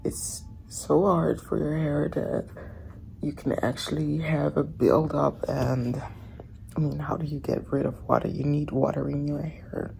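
Raspy-voiced woman, slow and soft. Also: low-pitched, fairly even intonation, a little hiss or hum, rough recording. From Netherlands. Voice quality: raspy in the morning. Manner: soft, often whispering in the dark. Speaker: vlogger. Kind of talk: casual morning vlog. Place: hotel room, indoors.

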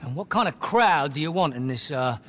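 An actor playing a cocky, laid-back club promoter in a video game, with an English accent and slangy patter. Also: male voice, fairly high pitch, expressive, normal speed, a bit bright. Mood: confident, scheming. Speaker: DJ booker. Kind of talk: video game cutscene acting.

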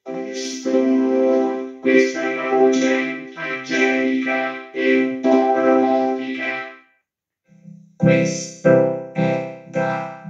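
A man demonstrating synthesizer presets, his voice run through a vocoder that makes it robotic and musical. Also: fairly high pitch. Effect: vocoder, changing presets, robotic and musical. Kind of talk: product demo of vocoder presets. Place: indoor room with synth gear. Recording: dull lo-fi, synth tones throughout.

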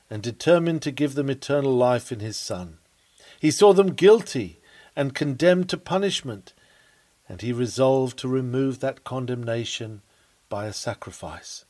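A man reading an old sermon aloud in an oratorical preaching style, solemn, measured and emphatic. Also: fairly high pitch, a little mellow, no echo. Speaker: sermon reader.

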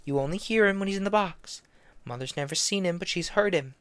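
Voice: mid-range voice, fairly quick, a bit bright, clean tone, dry recording, voice pushed up, lightly acted. Meg Giry, a reader voicing a jittery ballet girl. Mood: secretive. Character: frightened gossiping young ballet girl. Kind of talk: audiobook character voice.

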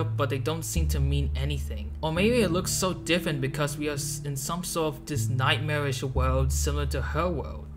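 A video essay host narrating from a script in a casual, joking manner. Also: medium pitch. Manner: casual, joking, with playful exclamations. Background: music behind the voice.

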